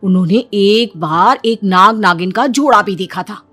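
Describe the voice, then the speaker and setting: A woman's voice: mid-range voice, a little slow, a bit bright, soft background music. Chatty village woman, put on by a narrator. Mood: gossipy, earnest. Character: gossipy, friendly village woman in a cartoon.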